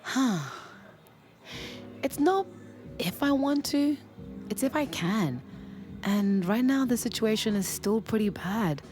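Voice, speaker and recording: A woman's voice: fairly high pitch, animated, slow pace, pauses often, darkish tone, faint music behind. A woman in her twenties from Zimbabwe playing a candid, smitten young woman in an audio drama, confiding and playful. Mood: chatty, open. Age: 20s. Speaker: lead actor and first-person narrator. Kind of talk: audio drama narration and dialogue.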